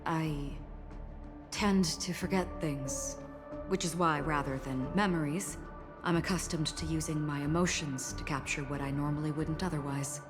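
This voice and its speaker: An actress playing a calm, enigmatic woman swordfighter in a game, speaking in a measured, formal, cryptic way with wistfulness. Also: deep voice, close to an everyday voice. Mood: wistful, formal. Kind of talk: video game story acting. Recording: long reverb, clear music behind. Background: music.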